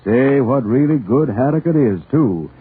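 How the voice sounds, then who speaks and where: A man with an American accent delivering a folksy 1940s radio commercial as a pitchman. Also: lively intonation, normal speed, slightly rough. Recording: muffled, tinny vintage radio recording.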